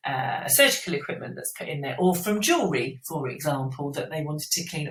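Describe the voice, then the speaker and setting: A woman with a fairly low voice teaches in an informal, step-by-step way. She sounds a little far from the microphone, in a roomy space with noticeable echo. Her delivery is that of a teacher explaining a revision lesson and checking that pupils follow. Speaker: science teacher.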